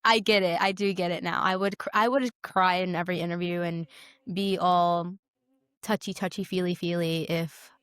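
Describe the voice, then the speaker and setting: A woman's voice: fairly low pitch, steady tone, normal speed, fairly bright tone, clear, resonant tone, dry recording, studio quality. A young woman co-hosting a podcast, chatting casually and effusively, stressing and repeating her words. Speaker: podcast co-host. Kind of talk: casual chat about films.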